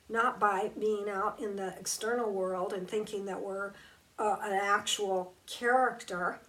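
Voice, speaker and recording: Woman, spiritual teacher, reflective talk, slow emphatic delivery, voice a bit far from the mic. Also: medium pitch, a bit bright. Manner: slow, emphatic and conversational, drawing out key words.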